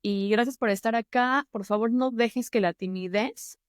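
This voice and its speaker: A woman's voice: fairly high pitch, moderate pace, clean tone, no room sound, studio quality. A woman with a Mexican Spanish accent giving earnest, encouraging advice to the camera as a public-speaking mentor and YouTube host.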